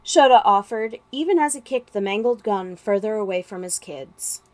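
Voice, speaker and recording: A woman reading a story as narrator, with expressive, dramatic delivery. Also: mid-range voice, normal speed. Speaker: podfic narrator.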